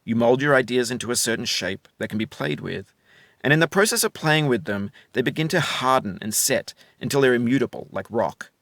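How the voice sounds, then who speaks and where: Calm, wry male game narrator. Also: mid-range voice, a little fast, vocal fry, no echo, clean studio sound. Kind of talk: reflective voice-over narration.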